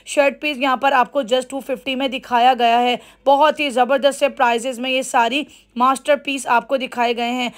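A bright, high-voiced woman with a Karachi Urdu accent hosts a shopping vlog. She talks with gushing enthusiasm, repeating prices and praise as she moves through a busy clothing shop. She records on a phone held close. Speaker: shopping vlogger. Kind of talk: upbeat shop-tour vlog.

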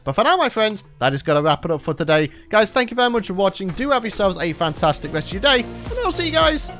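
A man's voice: sits high, sing-song, fast talker, music in the background. A young man, a regular gaming channel host, chatting cheerfully through a let's play and often laughing, his voice tired from recording late at night. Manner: chatty, upbeat, laughs often, talks to viewers.

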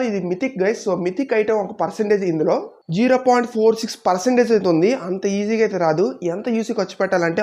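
A woman's voice: medium pitch, warm tone. A woman with a Telugu accent giving casual, explanatory video game commentary straight to viewers, as a gaming YouTuber. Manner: explanatory, addresses viewers directly.

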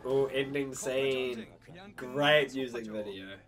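A young man with a stuffy, blocked nose doing casual, excited reaction commentary in an animated, exclaiming way. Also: medium pitch, takes it slowly, faint music behind. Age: young adult. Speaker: reaction video host. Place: small home room.

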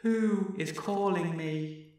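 Brief: man voicing a storybook fox, sly, raised and hushed, slow and eerie. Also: high-pitched, heavy reverb, distant mic, pitched above the performer's own voice. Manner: soft, slow, singsong. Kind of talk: storybook reading.

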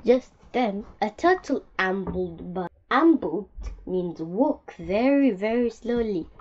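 Slow, expressive woman reading a picture book. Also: medium pitch, lo-fi sound. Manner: expressive, deliberate. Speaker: storybook reader. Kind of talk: children's picture-book read-aloud.

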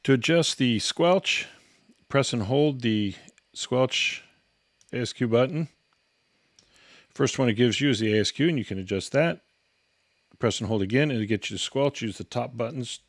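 A man's voice: medium pitch, normal speed, long pauses, no room sound, clean studio sound. A man giving an instructional product walkthrough in a matter-of-fact, step-by-step way. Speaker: product reviewer and demonstrator.

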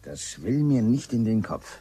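A man's voice: lowish voice, sing-song, a little slow, mellow, heavily acted. An actor playing a decent, earnest, disbelieving man from a cave expedition in a radio play. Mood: disbelieving, troubled. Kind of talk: German radio play acting. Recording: clear background ambience noise.

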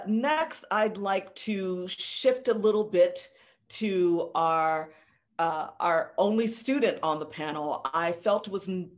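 Woman, middle-aged, panel moderator. Slow, halting delivery on a video call. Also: low-pitched.

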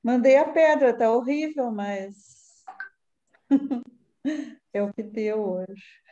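A woman's voice: fairly high pitch, extremely slow, mellow. A woman chatting unhurriedly on a video call and asking questions. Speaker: online class participant. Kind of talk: casual chat. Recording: muffled video-call audio.

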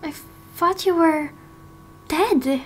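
Lady doing a flustered polite mom from a village, high pitched, game roleplay. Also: very slow, full character voice. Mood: awestruck. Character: flustered, apologetic village mother, awestruck and polite. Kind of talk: amateur game roleplay voice acting.

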